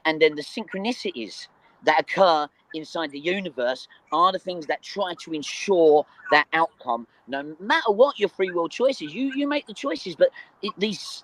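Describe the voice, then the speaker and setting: A man with a fairly high voice talks in a casual spiritual discussion. He is earnest and emphatic, and often stammers, repeating small words as he builds his point. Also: fairly bright tone, low-quality recording. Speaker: discussion group participant.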